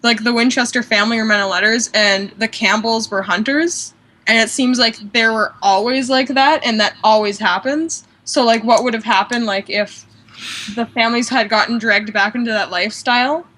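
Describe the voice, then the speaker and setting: A woman chatting casually on an online radio show, with a bright, forceful voice set a little high. She rambles and says "like" constantly as she works through an idea. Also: normal speed. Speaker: podcast co-host. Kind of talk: casual fan discussion.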